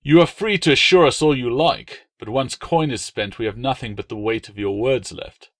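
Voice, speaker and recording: Man reading as young Roman officer, dismissive, skeptical, audiobook character, mid pitch, very dry sound. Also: normal speed, a bit bright, no echo, natural voice.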